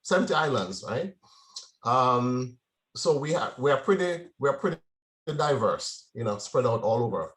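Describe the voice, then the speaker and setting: A man's voice: mid-range voice, lively intonation, normal speed, a bit bright, rough recording. A man from Jamaica giving a conversational presentation to a club, often checking in with the audience. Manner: conversational, frequent "right?" check-ins. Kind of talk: informal presentation.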